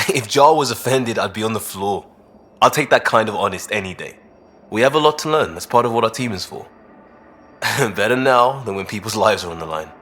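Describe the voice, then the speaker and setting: An actor playing a good-natured young crewmate in a space video game, talking informally and earnestly. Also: male voice, fairly low pitch, animated, brisk, a bit bright. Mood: amused, earnest.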